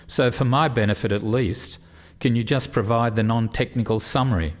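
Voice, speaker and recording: An actor playing a frank, inquisitive alien official in a radio play, speaking in a measured, formal way. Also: male voice, medium pitch, steady tone, natural voice. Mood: curious. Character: male alien official, curious, frank non-technical visitor.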